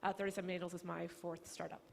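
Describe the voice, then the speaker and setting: A woman's voice: fairly low pitch, flat delivery, fast talker, gravelly, slight background noise. A woman speaking on a business panel, articulate and fluent with light fillers. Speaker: panelist, business school lecturer and angel network founder. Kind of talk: panel discussion.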